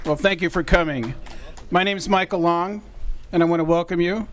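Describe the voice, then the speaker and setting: A man's voice: high-pitched, fairly even intonation, brisk, slight background noise. A man welcoming an audience at a museum event, speaking informally with occasional fillers. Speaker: event organizer.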